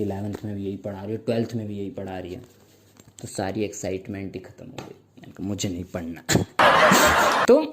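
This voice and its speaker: A young man performing a stand-up comedy set, telling stories with slow mock-grand stretches and playful sound effects. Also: lowish voice.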